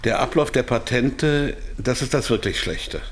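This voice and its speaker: A man's voice: mid-range voice, animated, measured pace, close to an everyday voice. A man acting in a German radio drama as an evasive but candid company insider, explaining things in a hedging, hesitant way. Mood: explanatory, hedging.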